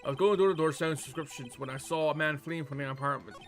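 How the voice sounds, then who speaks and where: A man's voice: fairly high pitch, fast talker, fairly bright tone, close to an everyday voice. Man reading a nervous, evasive murder witness. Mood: recounting. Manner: hesitant, stammering, over-explaining. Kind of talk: visual novel game dialogue read aloud. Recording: game music behind the voice.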